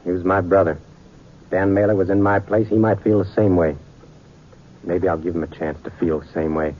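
An actor playing a vengeful, threatening American gunslinger with rough, taunting rural speech in a 1950s Western radio drama. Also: male voice, low voice, fairly even intonation, normal speed, natural voice. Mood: vengeful, menacing. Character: menacing vengeful male gunslinger, coldly threatening. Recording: noisy, narrowband 1950s radio recording.

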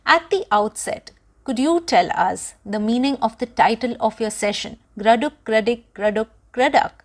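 A woman's voice: fairly high pitch, normal speed. A woman hosting a podcast who reads a scripted introduction and asks the guest questions with enthusiasm. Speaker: podcast host.

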